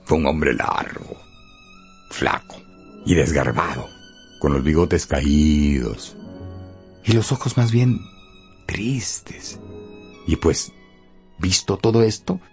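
A man narrating a radio story expressively and theatrically, with dramatic pauses and emphasis. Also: a bass voice, expressive, darkish tone, faint music behind.

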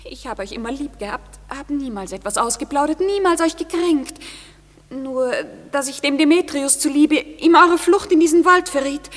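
Lovelorn maiden acted by a woman, very high voice, pleading theatrical delivery, reverberant radio drama. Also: normal speed, close to an everyday voice. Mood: pleading, sorrowful. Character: lovelorn, timid, wounded young woman. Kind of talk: classic German radio play acting. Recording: reverberant hall.

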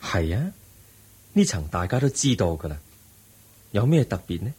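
The serene, wise Buddha. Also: male voice, on the low side, very animated, moderate pace, husky, exaggerated voice. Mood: serene, patient. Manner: gentle, patient, questioning like a teacher. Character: serene wise Buddha, calm male teacher. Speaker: Buddha in a radio play. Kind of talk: 1980s-style radio drama acting.

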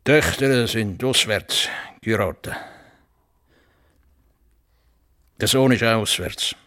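An actor in a radio play voicing a plain-spoken, stubborn old farmer with a Swiss German accent and rustic dialect turns. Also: male voice, fairly low pitch, unhurried, pushed and bright. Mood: curt, stubborn. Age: older.

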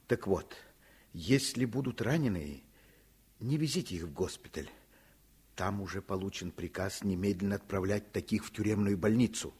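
A man's voice: fairly low pitch, darkish tone, husky. An actor playing a principled doctor and caring father in a radio play, earnest and firm. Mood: serious. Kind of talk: Soviet radio play acting.

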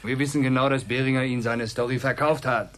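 A man plays a bossy gangster ringleader in a radio play. His mid-pitched voice is curt and commanding, with an impatient, menacing, slangy delivery. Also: steady tone, fast, a bit bright, natural voice. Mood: threatening. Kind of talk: German radio drama acting.

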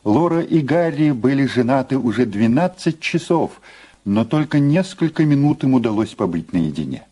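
A man's voice: medium pitch, animated, normal speed, warm tone. A man narrating a scripted story in a dramatic audio play style.

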